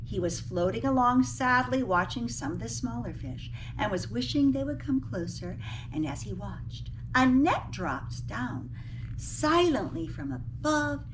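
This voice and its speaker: A woman's voice: a little high, animated, measured pace, distant mic, a little hiss or hum, low-quality recording. A woman teacher reading a children's picture book aloud expressively, with dramatic emphasis on key words and little asides to her listeners.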